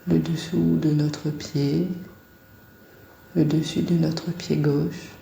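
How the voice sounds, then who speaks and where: A woman guiding a body scan meditation in a calm, soft-spoken, unhurried way with long pauses. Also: low-pitched, creaky, reverberant, slight background noise.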